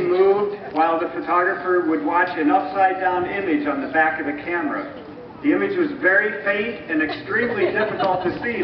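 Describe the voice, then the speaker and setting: A man giving a scripted, explanatory historical narration in a measured, didactic way, like a presenter at a live dramatization. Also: high voice. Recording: muffled, echoey distant recording with clear noise.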